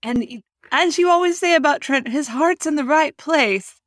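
High-voiced woman co-host, playful, dry sound. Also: animated, no room sound. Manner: giggly, quick reactions. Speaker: podcast co-host. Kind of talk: casual chat.

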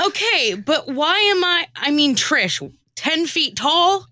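A woman's voice: sits high, sing-song, slow pace, pushed and bright, no room sound. A woman performing scripted comic radio theatre, narrating in a theatrical storytelling manner. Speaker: former co-host and narrator.

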